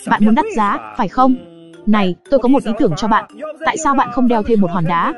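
A woman voicing a brisk, bossy farmer in an animated cartoon, matter-of-fact in manner. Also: on the high side, over music, lightly acted. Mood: persuasive. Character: brisk, bossy female farmer handling talking crops. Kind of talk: animated cartoon dialogue dub.

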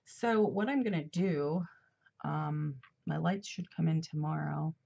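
A low-voiced, middle-aged woman talks slowly and chattily with a gravelly, husky edge, sounding a little congested. Her voice comes through a somewhat dull, lo-fi recording. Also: warm tone. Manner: chatty, rambling, with fillers and asides. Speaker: planner vlogger. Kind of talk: casual chat while decorating a planner. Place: at a desk indoors. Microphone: overhead camera.

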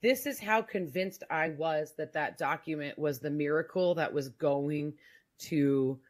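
A woman with a very low voice telling her personal story in a podcast conversation, reflective and halting with many restarts. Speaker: guest sharing her story.

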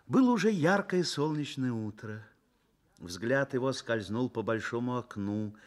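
A man narrating a Soviet radio drama as an earnest literary storyteller, expressive and measured, swelling and dropping to a hush. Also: fairly low pitch, natural voice.

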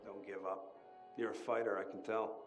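Low-voiced, cynical American asylum patient played by a man. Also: level tone, normal speed, music in the background, close to an everyday voice. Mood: wry, encouraging. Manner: wisecracking, sardonic, old-fashioned American slang. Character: cynical, jaded male asylum patient, restless cellmate. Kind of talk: video game acting.

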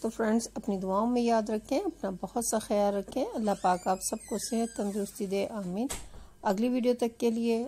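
A woman's voice: a little high, normal speed, warm tone, a little hiss or hum. A woman host giving a chatty, repetitive voice-over, addressing her viewers as friends. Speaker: video channel host.

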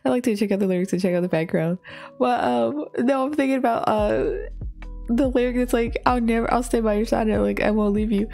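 A hoarse woman chatting casually as she pauses and reacts to a music video, full of fillers and giggles. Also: fairly high pitch, a little mellow. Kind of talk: casual commentary. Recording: faint music behind.

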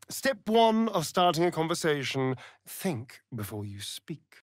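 An actor playing a flamboyant, sardonic vampire rogue in a video game, with an arch, drawling, theatrical delivery. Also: male voice, on the high side, very animated, slow pace, no room sound, clean studio sound. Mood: disdainful.

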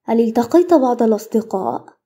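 Fast, mellow woman reading translations. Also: on the high side, expressive. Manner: brisk, clipped reading. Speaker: Arabic translation narrator. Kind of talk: scripted translation narration.

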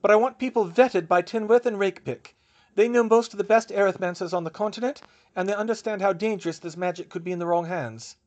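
A man's voice: high-pitched, fast talker, a little mellow, muffled recording, pitched above the performer's own voice, natural voice. A man voicing a young British witch professor in an audiobook, passionate, defensive and emphatic. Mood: urgent, insistent. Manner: emphatic, indignant protesting.

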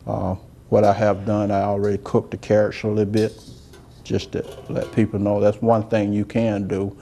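Soft-spoken chef, low and mellow. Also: male voice, monotone, moderate pace, vocal fry. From Georgia, US. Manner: soft-spoken, rambling and homey, talks while working. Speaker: chef and cooking show host. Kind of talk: unscripted cooking demonstration. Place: indoor demo kitchen set.

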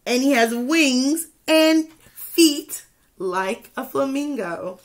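A young woman teacher reading a picture book aloud to children, expressively, pausing to ask questions and add asides. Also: sits high, expressive. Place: small indoor room with classroom wall charts.

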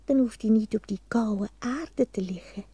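A woman playing a tiny, tender-hearted girl in a fairy tale, speaking softly and hesitantly. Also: a little high, normal speed, husky, no room sound.

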